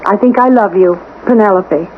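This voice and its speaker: An actress playing a self-assured, formal, managing housekeeper in a 1970s radio drama. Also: female voice, mid-range voice, normal speed, natural voice. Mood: wistful, flattering. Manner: poised, formal and persistent. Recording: tinny, noisy old radio broadcast.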